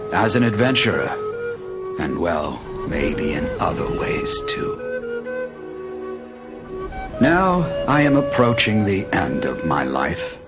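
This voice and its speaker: An actor playing an aged, wistful but resolute adventurer near death in a solemn, poetic video game monologue. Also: male voice, sits low, heavily acted. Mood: solemn, regretful. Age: older. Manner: solemn, measured, poetic delivery. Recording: loud music underneath. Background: music.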